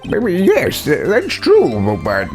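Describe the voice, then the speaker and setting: Guy doing a flustered old game judge, slow and stammery, pitch swinging all over. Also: huge pitch swings, darkish tone, soft background music, exaggerated voice. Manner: halting, stammering. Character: flustered older male courtroom judge. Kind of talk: video game voice-over, read live.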